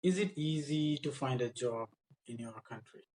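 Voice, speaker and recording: A man's voice: mid-range voice, animated, normal speed, darkish tone. A man, acting as an English examiner and putting short practice interview questions.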